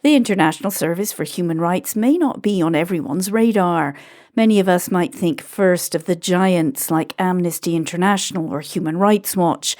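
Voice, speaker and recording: A woman hosting a podcast, narrating in a measured scripted way and asking guests questions. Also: lowish voice, mellow. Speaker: podcast host.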